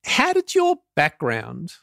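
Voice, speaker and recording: A man's voice: fairly high pitch, huge pitch swings, takes it slowly, gravelly, sharp tone, no room sound, clean studio sound. A man hosting a podcast, asking curious, thoughtful questions in a conversational way. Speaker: podcast host. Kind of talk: conversational interview.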